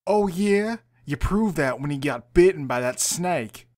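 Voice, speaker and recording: A man's voice: a little high, animated, measured pace, a little mellow. A man playing a teasing, protective and guarded young man in an understated amateur audio drama performance.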